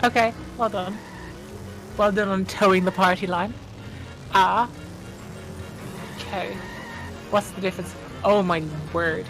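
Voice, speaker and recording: A woman's voice: medium pitch, steady tone, unhurried, pauses often, music in the background, poor audio. A woman giving casual, joking live commentary on a video game speedrun in a chatty, playfully mock-dramatic way. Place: live online stream commentary, game audio behind the voice.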